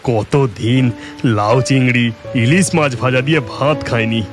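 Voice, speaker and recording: A man voicing an eager, food-loving, excitable village husband in a cartoon story, given to exclamations. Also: medium pitch, a little slow, over music, natural voice. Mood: wistful.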